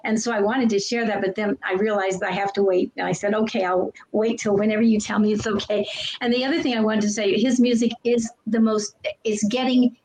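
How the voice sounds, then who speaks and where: A woman guest on a talk show who speaks softly and gushes as she shares her experience. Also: mid-range voice, fairly even intonation, a little fast, poor audio. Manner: soft-spoken and gushing, with light laughs. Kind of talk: casual testimonial chat.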